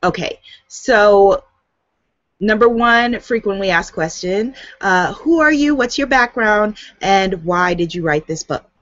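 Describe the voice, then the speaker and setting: A woman's voice: mid-range voice, lively intonation, slow pace, fairly bright tone, no echo. A woman author, born in the US, with a light Guyanese accent, talking casually to camera on a video hangout and laughing often. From United States. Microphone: webcam on a video hangout. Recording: dull, dry home recording.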